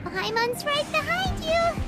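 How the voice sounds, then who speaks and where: A little childlike fairy companion from an anime game, chirpy and timid. The voice is squeakily high and slow-paced, with lively swings of pitch. Loud music plays behind. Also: female voice, exaggerated voice. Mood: nervous. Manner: refers to self in third person, exclaiming.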